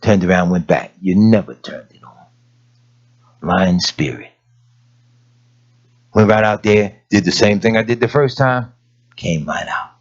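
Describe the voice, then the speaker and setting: Raspy preacher man with lively swings. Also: on the low side, unhurried, long pauses, dull audio. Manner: folksy storytelling preaching, rising to emphatic peaks. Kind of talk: sermon.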